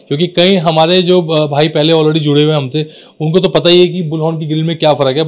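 Higher-pitched man presenting products. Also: darkish tone. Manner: explanatory, addresses viewers as brothers. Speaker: auto accessories shop presenter. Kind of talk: informal product showcase to camera. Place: small indoor car accessories showroom.

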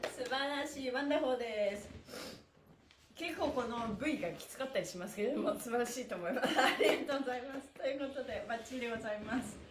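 Woman stretching instructor, high voice, cheerful and encouraging, middle-aged, echoey room. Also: quick pace, very noisy. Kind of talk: live-streamed exercise class with counted chants. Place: small indoor room with bare walls. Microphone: camera a few metres away. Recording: echoey room, mic at a distance.

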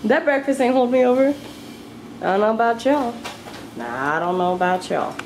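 A woman's voice: a little high, lively intonation, moderate pace, a little hiss or hum, low-quality recording. A young woman vlogging casually with friends in a hotel room, joking and giggling, recorded on a handheld camera.

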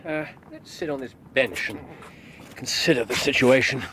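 An actor playing a brisk, incisive English detective with a clipped, authoritative manner in a period radio drama. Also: male voice, mid-range voice, lively intonation, pushed and bright, a little hiss or hum. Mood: reflective, incisive.

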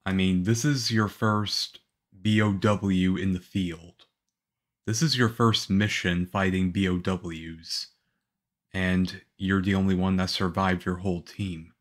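A man playing a calm, reassuring young government agent in an ASMR roleplay, speaking softly. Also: low-pitched. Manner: soft-spoken, unhurried, reassuring.

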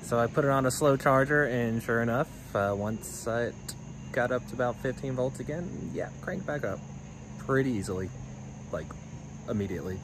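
A man's voice: mid-range voice, normal speed. Chatty young man vlogging outdoors. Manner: relaxed, chatty, self-deprecating. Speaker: homestead vlogger. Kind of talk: casual vlog narration. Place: outdoors on a rural homestead yard. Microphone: phone held at arm's length. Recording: outdoor phone audio with insect noise. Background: crickets and insects.

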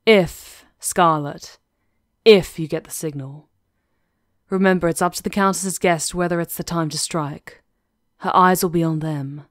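A woman acting a composed, sly witch conspirator with a measured, knowing manner in an ASMR fantasy roleplay. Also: fairly low pitch, fairly even intonation, no room sound, clean studio sound, close to an everyday voice. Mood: cautioning. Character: composed, sly female witch conspirator plotting against a countess.

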